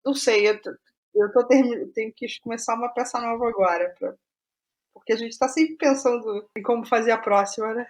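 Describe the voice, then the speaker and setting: A Brazilian woman speaking as a guest playwright in a casual interview, digressive and halting, often restarting her words. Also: on the high side, steady tone.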